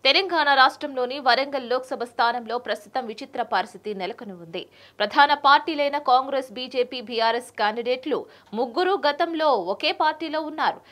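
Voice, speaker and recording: A woman's voice: sits high, quick pace, a bit bright, a little hiss or hum. A young woman presenting scripted news in a formal, announced delivery.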